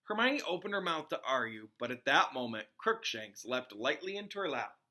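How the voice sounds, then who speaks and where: A man reading a children's book aloud as a teacher, with expressive narration aimed at young listeners. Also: mid-range voice, normal speed. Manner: explains hard words in simpler terms.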